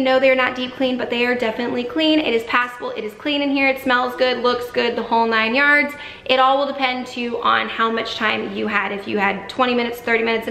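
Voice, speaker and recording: A woman's voice: a little high, vocal fry, fairly bright tone, a little hiss or hum. Practical woman giving cleaning tips. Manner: instructive, practical, matter-of-fact. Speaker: cleaning vlogger. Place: home living room, on a couch. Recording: voice-over with music underneath. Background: background music.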